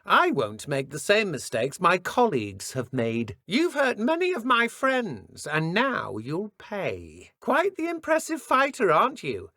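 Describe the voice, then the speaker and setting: An actor, a woman, playing a menacing, smug dark wizard henchwoman in a video game, mocking and threatening. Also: mid-range voice, wildly swooping pitch, a little slow, few pauses, dry recording, clean studio sound, full character voice. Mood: cold menace. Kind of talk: video game combat barks.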